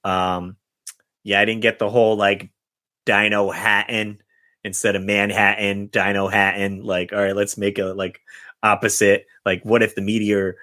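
Male podcast host, low voice, informal rambling movie chat. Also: flat delivery, moderate pace, bright, forceful tone. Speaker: podcast host running a guessing game.

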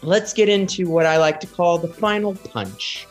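A man's voice: sits high, normal speed, soft background music. A man hosting a casual podcast chat, speaking with enthusiasm and addressing his listeners directly. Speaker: podcast host.

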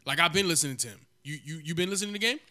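A man's voice: fairly high pitch, rapid-fire, bright, forceful tone. A man co-hosting a podcast, teasing and trash-talking in casual chat. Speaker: podcast co-host.

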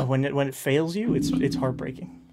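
A man's voice: medium pitch, moderate pace, vocal fry, noisy background. Enthusiastic man chatting casually. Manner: enthusiastic, upbeat. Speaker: podcast host and game master. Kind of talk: casual chat.

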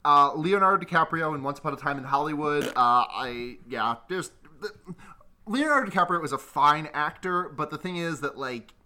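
A podcast co-host reading out listener questions in a relaxed, hesitant way with many fillers and some swearing. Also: mid-range voice, moderate pace. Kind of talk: casual chat about movies.

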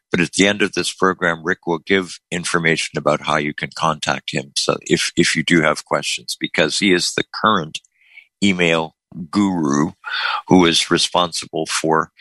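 A man hosting a call-in show, talkative and quick to joke with callers. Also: extremely low pitch, pushed and bright, no echo, rough recording. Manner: jokey, talkative. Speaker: host.

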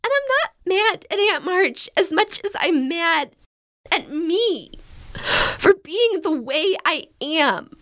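A woman's voice: very high-pitched, big pitch swings, takes it slowly, a bit bright, heavily acted. A woman acting a dejected, self-critical young woman of twenty-one in a modern audio drama, dramatic and blunt. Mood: self-loathing, tearful.

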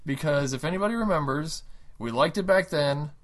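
A man's voice: on the high side, lively intonation, fairly quick. A man co-hosting a casual video game show, telling stories and teasing his co-host playfully. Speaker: co-host reviewing video games. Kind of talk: casual banter.

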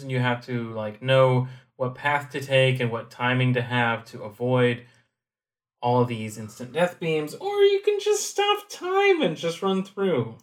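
Relaxed man explaining game tactics. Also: medium pitch. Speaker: podcast co-host. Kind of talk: casual game discussion.

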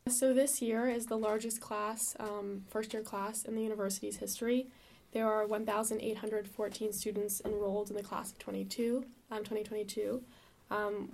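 Flat, gravelly young woman reading. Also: mid-range voice. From New York. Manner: reads with frequent "um" fillers. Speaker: student news reporter. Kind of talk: reading a news item. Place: recording booth.

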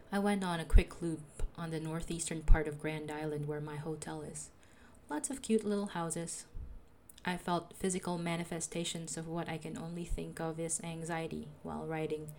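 Soothing, lower-voiced young woman. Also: slight background noise. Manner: calm, reflective, confessional. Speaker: podcaster. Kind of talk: personal diary narration.

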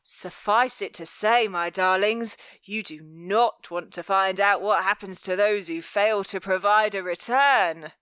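A menacing master of a thieves' guild, acted out by a woman reading an audiobook, speaks slowly and with total command. He is cruel and deliberate, coating threats in mock tenderness. The sound is dry, with no room around it. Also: mid-range voice, a bit bright, smooth, dry recording, close to an everyday voice. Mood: silky threat. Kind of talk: dramatic audiobook character voice.

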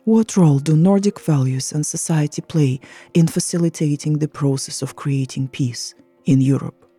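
A woman from Russia co-hosts a podcast and asks her questions in a measured way. Her voice is very dark and husky with a gravelly edge, recorded dry with faint music underneath. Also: low-pitched. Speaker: podcast co-host, journalist. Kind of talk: podcast interview hosting.

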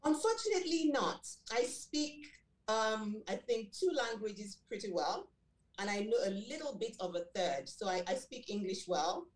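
A middle-aged Nigerian woman whose first language is Yoruba, answering interview questions in a measured way with many fillers and self-corrections. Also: medium pitch, big pitch swings, roomy sound, low-quality recording. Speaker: guest, school director and behavior analyst.

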